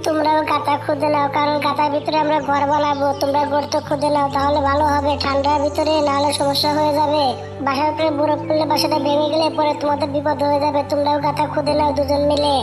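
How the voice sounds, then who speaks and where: A woman's voice: sits high, close to an everyday voice. A woman voicing a small, worried, bossy talking bird in cartoon story dialogue, often pressing for agreement. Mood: urging.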